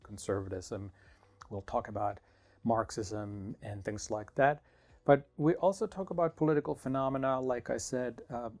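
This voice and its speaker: A middle-aged man from Finland, a professor answering interview questions in a thoughtful, measured way with small restarts. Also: mid-range voice, darkish tone. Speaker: political science professor.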